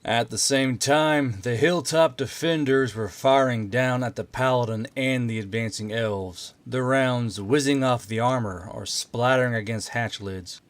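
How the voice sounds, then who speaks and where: A man's voice: mid-range voice, a little slow, hardly any pauses, dry recording. A man reading scripted story narration as an audiobook narrator.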